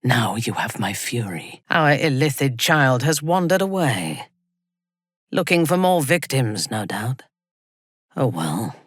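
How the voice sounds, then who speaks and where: A woman playing a haughty, ruthless dark elf warrior in a fantasy video game, speaking in a measured, imperious, formal way with a breathy, husky voice. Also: extremely low pitch, big pitch swings, dry recording, clean studio sound, exaggerated voice. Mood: scornful. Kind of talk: scripted video game character lines.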